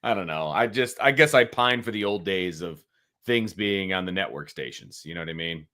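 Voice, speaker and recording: A man's voice: low-pitched, lively intonation, moderate pace, bright, forceful tone. A middle-aged man giving casual, opinionated sports talk as a co-host, emphatically repeating his points. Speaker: sports show co-host, analyst.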